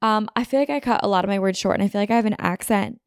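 A woman's voice: medium pitch, rapid-fire, clear, resonant tone, no room sound, studio quality. A young American woman from the suburbs of Philadelphia hosting a podcast, rambling casually with lots of "like", a little sniffly from a runny nose. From Philadelphia suburbs, USA. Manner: rambling, heavy use of "like", self-interrupting. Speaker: podcast host. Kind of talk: casual chatty podcast monologue. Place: apartment room. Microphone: podcast mic on video.

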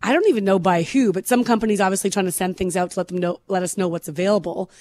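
A woman co-hosting a morning radio show in casual chat, her voice hoarse and scratchy from overuse, laughing often as she talks. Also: medium pitch, fast, darkish tone. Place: radio studio. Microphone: studio microphone.

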